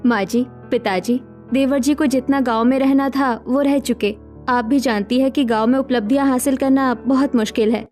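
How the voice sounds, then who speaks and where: A woman's voice: high-pitched, fairly even intonation, moderate pace, darkish tone, soft background music, lightly acted. A woman voicing a caring, hardworking young daughter-in-law in a village story, earnest and reassuring. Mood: firm. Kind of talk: scripted story dialogue.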